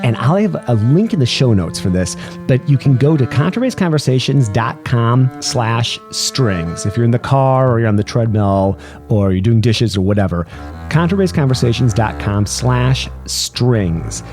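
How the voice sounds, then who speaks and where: A man hosting a podcast interview, talking in a relaxed, friendly way and stumbling over words as he starts his questions. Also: medium pitch, moderate pace, soft background music. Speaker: podcast host. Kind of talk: casual interview. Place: outdoor courtyard.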